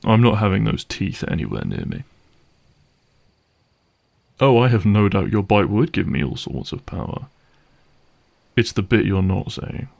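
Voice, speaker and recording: A man playing a gruff, cynical vampire hunter for hire in a dramatic audio roleplay, speaking in a wry, sardonic and menacing way. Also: lowish voice, big pitch swings, measured pace, pauses often, darkish tone, heavily acted. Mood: guarded, bargaining. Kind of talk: dramatic audio roleplay monologue addressed to listener.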